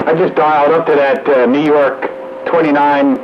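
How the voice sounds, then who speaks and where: A man's voice: a little high, fairly even intonation, normal speed. A man chatting casually as a regular on an amateur radio net, heard over a two-way radio. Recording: noisy, muffled two-way radio.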